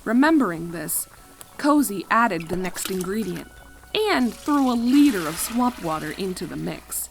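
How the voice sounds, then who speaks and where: A woman reading fan fiction as narrator, expressive and dramatic, leaning on key words. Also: medium pitch, unhurried, vocal fry, soft background music, poor audio. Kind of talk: expressive scripted story narration.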